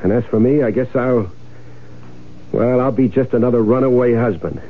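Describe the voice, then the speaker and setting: A man's voice: mid-range voice, normal speed, a little hiss or hum, lightly acted. Man acting brusque scheming husband, old radio drama. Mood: edgy, reassuring. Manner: curt, bossy. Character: scheming husband, brusque, impatient small-time swindler. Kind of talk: old-time radio drama acting and first-person narration. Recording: muffled vintage radio broadcast with music.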